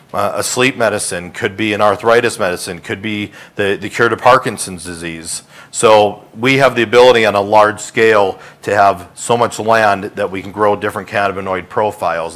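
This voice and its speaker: A middle-aged man with a fairly low voice pitches his company to investors. He talks informally, like a conversational salesman, and often rewords his points as he goes. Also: fairly bright tone. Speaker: company executive giving an investor presentation. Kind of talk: informal business pitch to an audience. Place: hotel conference room with seated audience.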